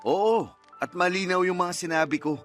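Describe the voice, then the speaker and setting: A man's voice: high-pitched, normal speed, fairly bright tone, soft background music. A man playing a callous, selfish partner in a radio drama, speaking in a cold, blunt, dismissive manner. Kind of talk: Tagalog radio drama acting.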